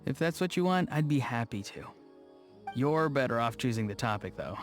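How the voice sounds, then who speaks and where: A man voicing an awkward, earnest young video game character, speaking in a low-key, hesitant way. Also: expressive, normal speed, lightly acted. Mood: gentle, friendly. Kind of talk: scripted video game dialogue. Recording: music behind the voice. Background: faint background music.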